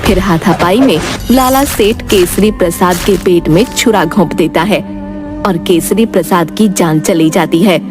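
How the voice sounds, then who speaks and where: A woman's voice: fairly low pitch, unhurried, over music. A woman narrator reading a scripted children's moral story.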